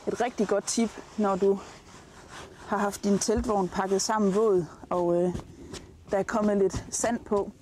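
A Danish woman hosts a travel programme in a mellow, relaxed voice. She gives practical tips straight to camera. Outdoor ambience sits faintly behind her. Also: medium pitch, a little hiss or hum. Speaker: travel show host. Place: outdoors at a campsite, in and beside a tent awning.

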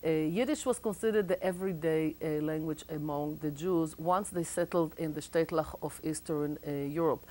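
Middle-aged Israeli woman, Israeli accent, lowish voice, thoughtful and hesitant, lapel mic in a TV studio. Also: normal speed, few pauses, darkish tone. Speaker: guest. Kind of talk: interview, explaining.

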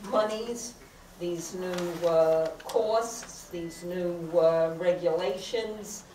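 Older woman at a council podium, lowish voice, slow and halting, full of "uh"s, recorded from far back in an echoey chamber. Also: mellow, a little hiss or hum. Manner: deliberate, halting with frequent "uh" fillers. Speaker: resident speaking at public comment. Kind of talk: public comment at a council meeting. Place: large crowded council chamber. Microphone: podium microphone, heard from a far camera.

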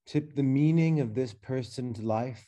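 A man in his thirties reading a prepared keynote as curator over a webcam video call, slow, measured and reflective, with pauses. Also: mid-range voice, mellow. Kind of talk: reading a prepared lecture text. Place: dark room indoors.

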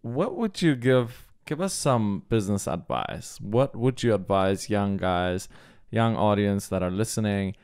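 Man, 30s, from Germany, podcast host, slow relaxed pace, dry close studio mic. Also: on the low side, clear, resonant tone, no echo. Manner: laughs a lot, easygoing questions. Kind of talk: casual interview conversation. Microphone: studio mic on boom arm with headphones.

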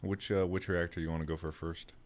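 A man's voice: low-pitched, flat delivery, fairly quick, slight background noise. A man playing along on voice chat, laid-back and teasing as he chats during a game. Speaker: co-player on voice chat. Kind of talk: casual gaming chat.

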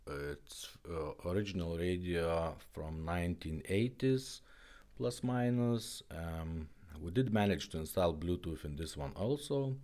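A man casually presenting a product demonstration, with halting, simple non-native English phrasing. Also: deep voice, a little mellow. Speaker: presenter demonstrating retro car radios.

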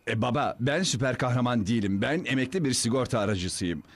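An actor playing a meek, hapless elderly cartoon son, husky, hesitant and plaintive. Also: male voice, mid-range voice, expressive, quick pace. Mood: meek protesting. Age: older. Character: meek, hapless retired son dragged into villainy. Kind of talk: dubbed cartoon acting.

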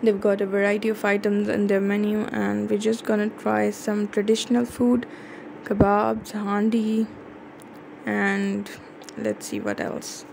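A woman's voice: medium pitch, unhurried, creaky, darkish tone, slight background noise. A young woman narrating a cheerful vlog, mixing English with Hindi-Urdu phrases. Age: young adult. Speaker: vlogger. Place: outdoors in village fields and in a restaurant. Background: music under the voice.

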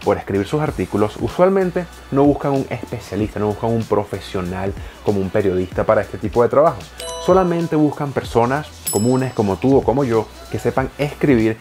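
A man in his thirties talking directly to the viewer from a home office, animated and gesturing, explaining step by step. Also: medium pitch, a little fast, faint music behind. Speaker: online business youtuber. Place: home office with bookshelves, indoors.

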